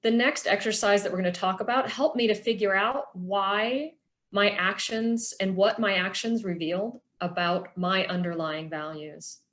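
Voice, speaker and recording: A middle-aged woman presenting a workshop with personal reflection, explaining things in an earnest, measured way. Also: mid-range voice, steady tone, fairly bright tone.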